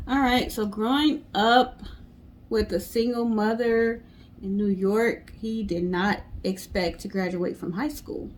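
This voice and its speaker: A woman's voice: mid-range voice, fairly even intonation, slow pace. A woman co-hosting and retelling a story in a casual storytelling style. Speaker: co-host.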